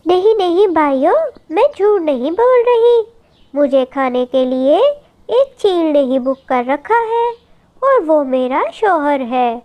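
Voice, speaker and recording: A woman's voice: high-pitched, big pitch swings, slow, lo-fi sound, heavily acted. A woman voicing a small, clever mother bird in a children's cartoon, earnest and dramatic as she pleads. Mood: urgent, cunning. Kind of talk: Hindi children's cartoon story acting.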